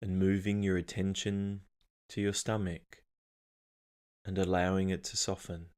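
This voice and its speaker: Low-voiced man guiding meditation. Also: clear, resonant tone. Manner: calm, soothing, unhurried instruction. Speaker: meditation guide. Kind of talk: guided meditation narration.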